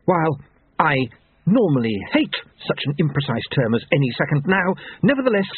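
A man plays a manic clockmaker in a fantasy audiobook, fussy and precise to the point of madness. His voice rides high and leaps around, heard through a thin phone-like band. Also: fairly quick, husky, no echo, exaggerated voice. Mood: excited, pedantic. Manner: precise, excitable, over-rational. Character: Jeremy, fussy, manic, unhinged male clockmaker.

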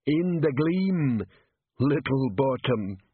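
A man voicing a slow, grinning troll with drawn-out speech in a fantasy audiobook. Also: medium pitch, lively intonation, breathy edge, no echo, pitched above the performer's own voice. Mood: amused, plodding. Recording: tinny, phone-like band-limited audio.

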